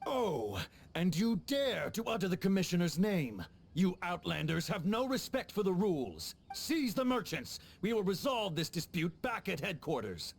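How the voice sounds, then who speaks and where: An actor playing a stern, officious chief of tax officers in a video game, commanding and haughty. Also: male voice, sits high, big pitch swings, moderate pace, vocal fry, heavily acted. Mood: angry, imperious. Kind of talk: English video game dub acting.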